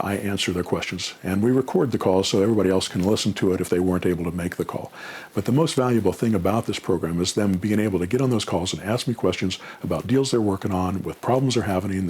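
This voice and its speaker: A man's voice: lowish voice, a little mellow, slightly rough. A man giving casual, unscripted advice as a mentor answering questions, in a plainspoken, conversational way.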